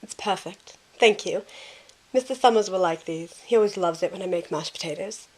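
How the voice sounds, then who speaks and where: A woman playing a caring, reserved young woman in an amateur audio drama, soft-spoken and reassuring. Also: medium pitch, moderate pace, close to an everyday voice, rough recording. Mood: warm, casual.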